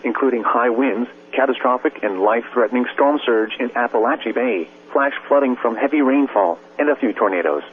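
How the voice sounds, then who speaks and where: A man's medium-pitched synthetic voice comes through a narrow, tinny radio channel. He reads an official weather warning in stiff, measured, clipped phrases. A hum and faint hiss sit behind the words. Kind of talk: formal scripted hurricane warning bulletin.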